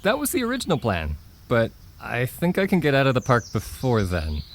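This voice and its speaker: A man's voice: mid-range voice, wildly swooping pitch, brisk. A man playing a polite, slightly awkward traveler in an audio drama, casual and apologetic. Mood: awkward, friendly.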